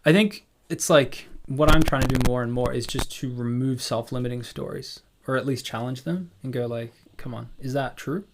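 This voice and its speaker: A man's voice: medium pitch, normal speed, few pauses, slight background noise. A man from South Africa talking reflectively and casually on a podcast, philosophical and articulate, often posing questions. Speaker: podcast speaker.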